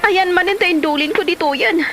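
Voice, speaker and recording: A woman's voice: extremely high pitch, a little fast, over loud music. A woman playing a distraught, fretful wife and mother in a comedy drama, plaintive and exclamatory, with a Filipino accent. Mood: frantic, accusing. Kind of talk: Ilocano comedy drama acting. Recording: faint-to-clear noise, rain-like ambience.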